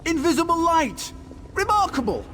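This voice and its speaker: An actor playing a fussy, curious and anxious scholarly tinkerer in a video game, speaking in a formal, exclamatory way. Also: male voice, very high-pitched, very animated, moderate pace, fairly bright tone, faint music behind, heavily acted. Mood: fascinated, explaining. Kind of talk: video game character dialogue.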